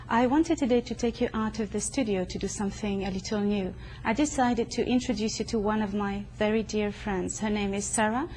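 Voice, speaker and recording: A woman's voice: medium pitch, fairly quick, hardly any pauses, a little mellow, slight background noise, low-quality recording. A woman hosting an interview show, putting formal, welcoming questions to a guest at her home. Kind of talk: hosted interview.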